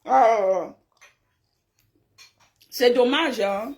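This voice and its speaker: A woman speaks to viewers on a live stream in a fairly high voice. She takes her time, drawing her points out slowly. Her delivery is blunt and scolding, and she repeats phrases for emphasis. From francophone Africa, grew up in a village. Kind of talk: casual live stream chat, replying to viewers' comments.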